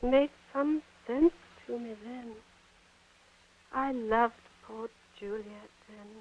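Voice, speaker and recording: A woman playing a high-strung, weary young actress and rebellious daughter, with emotive 1940s radio drama delivery. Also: slow pace, breathy edge. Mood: hushed, melancholy. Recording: muffled narrow-band 1940 radio, music.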